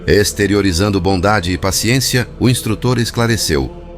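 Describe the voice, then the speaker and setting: Man, deep voice, formal scripted narration, measured delivery, faint music behind. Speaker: audiobook narrator.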